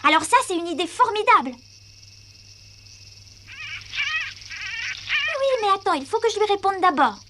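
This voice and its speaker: A woman's voice: extremely high pitch, expressive, fast, background noise. A woman voicing an eager, playful, cajoling young child in a dubbed cartoon, chatty and exclamatory. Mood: delighted. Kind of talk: animated cartoon dub acting.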